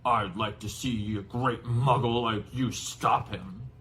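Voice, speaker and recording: A man voicing a big, gruff, excitable but kindly giant with a rustic, blustering way of talking, in a storybook reading. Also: on the low side, takes it slowly, slight background noise. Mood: gruff, defiant. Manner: rustic, blustering exclamations and hesitant asides.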